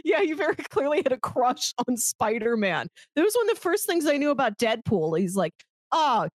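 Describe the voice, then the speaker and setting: A woman's voice: high voice, very animated, moderate pace, dry recording. A woman co-hosting a podcast, talking casually and chattily about films, often laughing as she talks. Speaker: podcast co-host. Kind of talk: casual film discussion.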